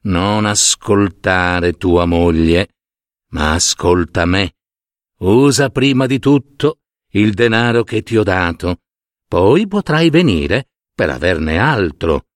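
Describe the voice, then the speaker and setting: A man voicing a wise, generous python in a folk tale, speaking in a grave, measured, admonishing way. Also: deep voice, animated, dry recording, clean studio sound, voice pushed down.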